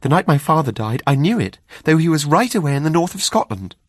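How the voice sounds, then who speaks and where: Young wife, played by a male reader: tender, full of foreboding, earnest, lightly lifted pitch, storybook drama. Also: sing-song, fast, no room sound, clean studio sound. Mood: uneasy, earnest.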